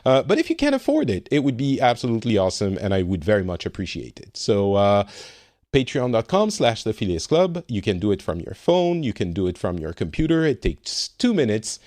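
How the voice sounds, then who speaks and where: Lively French-accented man hosting a podcast. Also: on the low side, a little fast, no echo, clean studio sound. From France. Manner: chatty, self-correcting, laughs easily. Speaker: podcast host. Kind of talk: casual podcast chat. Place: home in Finland.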